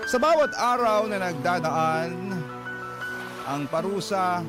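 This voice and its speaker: Higher-voiced man narrating over prominent music. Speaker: radio drama narrator reading a letter. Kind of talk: dramatic first-person scripted narration. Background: prominent background music.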